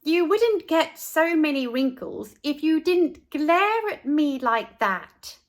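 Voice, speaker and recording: A woman's voice: sits high, animated, slow, pitched above the performer's own voice. A woman voicing an earnest, blunt and innocent little schoolboy in a storybook, speaking in a matter-of-fact way. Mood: matter-of-fact, proud.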